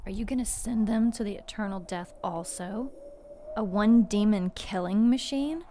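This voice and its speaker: A wary female demon sidekick, played by a woman in a radio drama. Her voice sits at a medium pitch, and she talks in a dry, questioning way, loyal yet skeptical. Light ambient noise sits behind her. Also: normal speed, mellow, natural voice. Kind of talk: audio drama acting.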